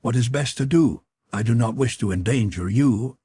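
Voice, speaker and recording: A man's voice: medium pitch, moderate pace, warm tone, husky, dry recording, lightly acted. A gallant adventurer, as a male narrator puts him on in a storybook reading. He is bold and direct, with urgent, formal questions, in a close, dry recording. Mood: resolute. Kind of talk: audiobook character voice.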